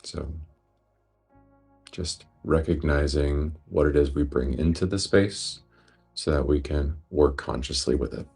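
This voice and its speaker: A man guiding a breathwork meditation in a gentle, unhurried, reassuring manner. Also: a bass voice, fairly even intonation, vocal fry, slightly rough, faint music behind. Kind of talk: guided meditation instruction.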